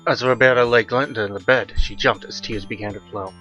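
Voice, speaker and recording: A man's voice: mid-range voice, steady tone, fairly quick, pushed and bright, lo-fi sound. A man narrating a horror audiobook in a dramatic, scripted style. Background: faint music.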